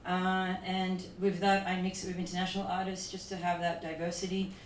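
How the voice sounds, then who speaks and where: A woman from Dubai talks on a conference panel in a loose, chatty way, full of fillers and "like." Her voice sits low and barely moves in pitch. She is heard from a distance in an echoey hall with faint noise behind her. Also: completely flat delivery, a little fast. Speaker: panel speaker, events promoter.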